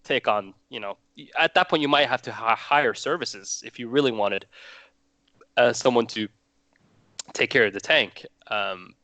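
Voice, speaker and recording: A man's voice: mid-range voice, normal speed, long pauses, bright, forceful tone, dry recording. A young man from Norway chatting casually as a guest, rambling and restarting his phrases. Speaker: guest hobbyist. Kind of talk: casual chat.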